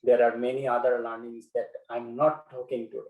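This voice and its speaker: A man from Bangladesh whose first language is Bengali, giving a webinar presentation as a guest speaker in a measured way, with frequent pauses and fillers. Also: medium pitch, little pitch movement, roomy sound, narrow, tinny audio.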